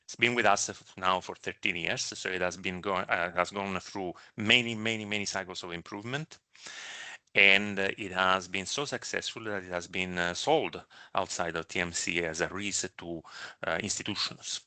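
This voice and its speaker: A man speaking as a medical director in a live question-and-answer session, explaining in a measured, careful way with hesitations. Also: low voice, steady tone, pushed and bright, no echo.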